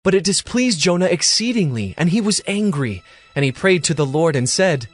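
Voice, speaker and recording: Brief: male narrator, slightly high, very lively intonation, scripted scripture reading. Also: moderate pace, no room sound, studio quality, faint music behind.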